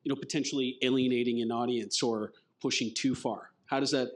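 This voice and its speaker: A man's voice: mid-range voice, fairly even intonation, a little fast. A man moderating an onstage fireside chat, hesitant, with many fillers and dry jokes. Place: conference stage before an audience.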